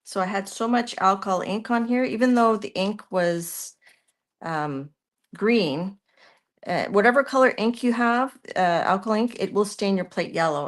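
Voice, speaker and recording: A Canadian woman hosts a live art session in a middle-pitched voice. She chats as she works, thinking aloud and answering viewers as their questions come in. Her sentences often wander off unfinished. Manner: chatty, thinks aloud while working, trails off mid-sentence. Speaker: art tutorial host.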